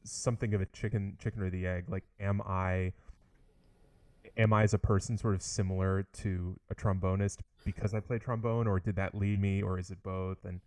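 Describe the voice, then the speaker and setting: A young man with a low voice, speaking in a fairly flat, even tone. He is an orchestral trombonist chatting eagerly through an interview, full of likes and restarts. The recording is dry and close. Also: moderate pace, no room sound. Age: young adult. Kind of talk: casual interview conversation.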